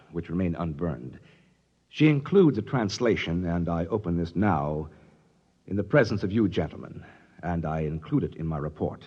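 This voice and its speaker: An actor playing a flustered, stuffy company official in a 1950s radio drama, formal and officious, with a stammering manner. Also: male voice, low-pitched, darkish tone, husky. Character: flustered, stuffy male corporate official defending himself. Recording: dull, reverberant 1950s radio recording.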